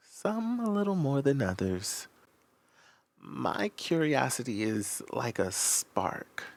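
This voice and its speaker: A man playing a secretive, obsessive man confessing in a measured, confiding audio drama narration with deliberate pauses. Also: on the low side, creaky, exaggerated voice. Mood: intrigued, confiding. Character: Josh, secretive obsessive man confessing his curiosity about strangers. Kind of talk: scripted audio drama first-person narration.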